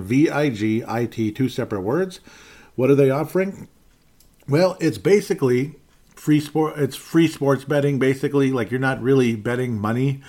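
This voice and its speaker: A man's voice: medium pitch, darkish tone. A man hosting a casual sports podcast, rambling and correcting himself with wry asides. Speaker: podcast host.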